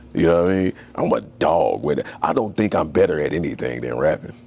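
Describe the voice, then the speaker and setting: A man's voice: a bass voice, creaky, darkish tone, breathy edge. A young man, a tattoo artist, talking as a podcast guest in a loose, slangy, storytelling way. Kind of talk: casual conversation.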